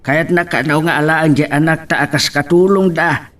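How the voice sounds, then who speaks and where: A man's voice: a little high, steady tone, normal speed, a bit bright, recorded from a distance, close to an everyday voice. A man playing an elderly, concerned village father in an Ilocano audio drama. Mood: matter-of-fact. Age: older. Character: elderly village father, plain-spoken, concerned for his child. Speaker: actor in radio-style drama.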